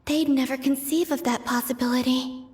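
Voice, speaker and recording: A woman playing a gentle, melancholy young moon maiden in a video game, speaking softly and breathily in a hushed, wistful way. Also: high voice, steady tone, normal speed, natural voice. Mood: wistful, resigned. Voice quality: soft, breathy. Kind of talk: video game cutscene monologue.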